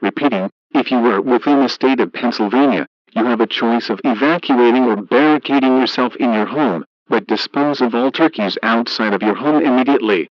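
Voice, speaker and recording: A man reading a scripted emergency broadcast announcement in a formal, flat, measured, synthetic way, as an alert announcer. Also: medium pitch, no room sound. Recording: dull lo-fi emergency radio broadcast.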